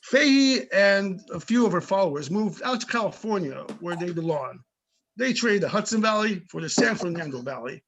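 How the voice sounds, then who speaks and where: A man playing a tough but amicable older police chief in an amateur readers theater, plainspoken and opinionated, with a husky voice. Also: high-pitched, animated, moderate pace, fairly bright tone, heavily acted. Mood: disdainful. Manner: plainspoken, opinionated, small-town storytelling. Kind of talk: amateur readers theater reading over videoconference.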